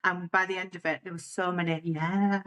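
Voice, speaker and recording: A woman's voice: on the low side, steady tone, fairly quick, a bit bright. A woman with a Yorkshire accent hosting a podcast interview, chatting easily and laughing often. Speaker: podcast host. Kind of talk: friendly interview.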